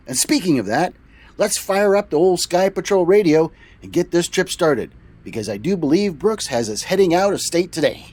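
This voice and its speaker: A man's voice: on the high side, dry recording. A man hosting a show in character as a trucker captain, with a cheerful, folksy manner.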